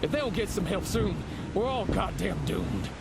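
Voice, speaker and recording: An actor playing a panicked, despairing frontier man in a video game, with a gravelly voice. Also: high-pitched, very animated, moderate pace, loud music behind the voice, exaggerated voice. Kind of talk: dramatic video game acting. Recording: loud noisy game ambience.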